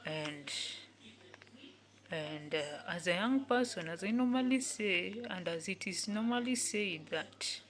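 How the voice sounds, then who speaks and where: A woman, giving an earnest motivational talk in which she repeats each phrase several times and sometimes drops to a soft whisper. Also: lowish voice, animated, a little slow. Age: 20s. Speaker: youth speaker.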